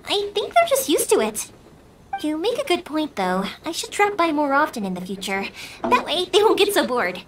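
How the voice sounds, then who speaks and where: Very high, cheerful young fireworks maker. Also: female voice, huge pitch swings, moderate pace, background noise. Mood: friendly. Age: young adult. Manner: upbeat, reassuring, breezy. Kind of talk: scripted video game dialogue.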